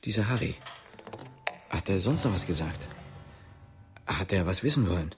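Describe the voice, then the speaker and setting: A man's voice: sits low, expressive, normal speed, faint music behind. An actor playing a wary young East German policeman in a radio drama, terse, questioning and incredulous. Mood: tense, pressing.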